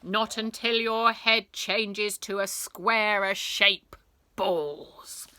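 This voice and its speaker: Angry pompous storybook headteacher guy, done by a woman, barks slowly, really forceful and dry. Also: medium pitch, steady tone, clean tone, no echo. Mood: furious, pompous. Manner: pompous, barking, drawn-out words. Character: furious tyrannical male schoolteacher, pompous and ball-obsessed.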